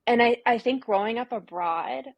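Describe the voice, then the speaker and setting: A woman's voice: fairly high pitch, moderate pace, creaky, dry recording. A woman from Singapore talking candidly and informally as an interview guest, reflecting and voicing her inner dialogue. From grew up in Singapore. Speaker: interview guest, therapist and former teacher.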